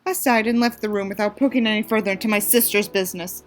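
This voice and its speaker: A woman's voice: mid-range voice, steady tone, fast talker. A woman from the United States doing a mocking dramatic reading, theatrical, with sarcastic asides. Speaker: reader and commentator.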